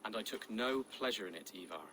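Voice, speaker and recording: An actor playing a gentle, pious young nobleman in a video game, earnest and polite. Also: lowish voice, animated, quick pace, slight background noise. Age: young adult. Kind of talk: dramatic video game acting.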